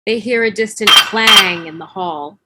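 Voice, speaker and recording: A woman's voice: medium pitch, a little slow, very noisy. A woman reading stage directions as scripted narration for a table read over a video call.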